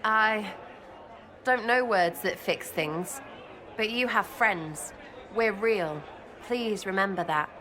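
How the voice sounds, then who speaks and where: A British actress playing a cheeky, blunt young elf rogue in a fantasy game, talking in clipped, irreverent slang. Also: female voice, medium pitch, slow pace, pauses often, crowd noise, close to an everyday voice. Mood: earnest, gentle. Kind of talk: video game dialogue acting.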